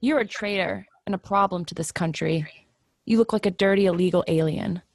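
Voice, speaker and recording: A woman's voice: medium pitch, a little fast, gravelly, dry recording, clean studio sound. A woman reading an indignant hostile commuter. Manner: indignant, insulting. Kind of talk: readers theatre script reading.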